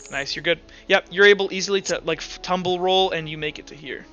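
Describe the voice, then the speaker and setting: A man's voice: sits high, fast talker, pushed and bright, muffled recording, faint music behind. A man acting as game master, narrating a tabletop roleplaying game in a casual, slangy way.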